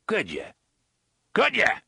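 A man's voice: on the high side, big pitch swings, vocal fry, breathy edge, no room sound, pitched above the performer's own voice, exaggerated voice. Man voicing a thick-voiced, dangerous gang boss. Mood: mocking, commanding. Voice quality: thick, soft. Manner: slow-burning, mock-friendly menace. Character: menacing male gang boss, soft-spoken, mocking, dangerous.

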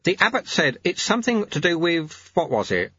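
A man's voice: on the high side, big pitch swings, normal speed, sharp tone, breathy edge, dry recording, highs cut off, voice pushed up, full character voice. A man voicing a wily, cheerful old sweeper who coaxes and teases in colloquial speech, as an audiobook character. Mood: chatty, reassuring. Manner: colloquial, chirpy, coaxing.

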